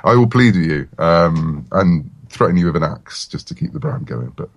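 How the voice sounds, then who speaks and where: Male interview guest, slightly low pitch, casual and rambling delivery, keen and talkative. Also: animated, normal speed, creaky. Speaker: interview guest, comic writer.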